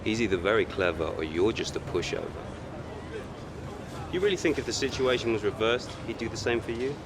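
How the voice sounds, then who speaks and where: A man's voice: lowish voice, lively intonation, fairly quick, background noise. An actor playing a smug, sarcastic young racing driver who needles his rivals in a video game cutscene. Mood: mocking, goading.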